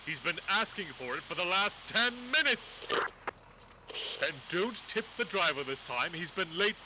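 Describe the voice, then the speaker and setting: Bossy security chief, high voice, swooping pitch, impatient, barking orders, audio drama, very noisy sound. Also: male voice, expressive, moderate pace.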